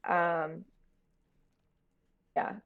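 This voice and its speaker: A woman's voice: fairly low pitch, completely flat delivery, drawn-out words, gravelly, narrow, tinny audio. A woman talking casually and informally as a guest in a conversation over a remote call. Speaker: podcast guest, horror producer. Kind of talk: casual chat.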